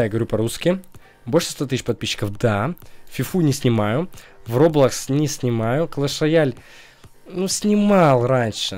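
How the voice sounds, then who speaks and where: A man's voice: mid-range voice, a little mellow. A young man, a gaming YouTuber, chatting casually over a screen recording, joking and laughing often. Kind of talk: casual let's-play commentary.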